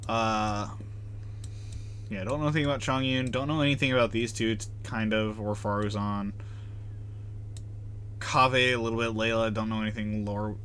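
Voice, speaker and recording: A man's voice: fairly low pitch, steady tone, moderate pace, long pauses, a bit bright, a little hiss or hum. A man streaming a video game live, giving casual, rambling commentary and often repeating himself.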